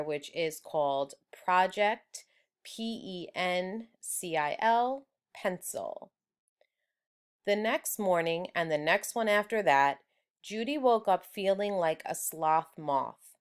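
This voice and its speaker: A woman reading a children's story aloud in a deliberate, expressive storyteller's manner. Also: on the low side, clean tone. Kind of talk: children's book read-aloud.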